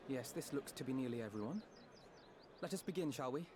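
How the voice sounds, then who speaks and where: An actor playing a courteous, composed companion in a fantasy game cutscene, speaking in polite, formal phrases. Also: male voice, medium pitch, animated, fairly quick, noisy background, lightly acted. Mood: businesslike. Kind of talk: dramatic video game cutscene acting. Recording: music behind the voice.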